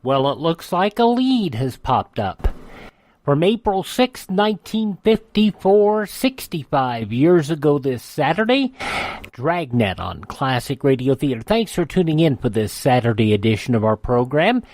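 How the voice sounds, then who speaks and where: Animated male radio host, dry sound. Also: a little high, a little slow, no room sound. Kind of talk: scripted radio presenting.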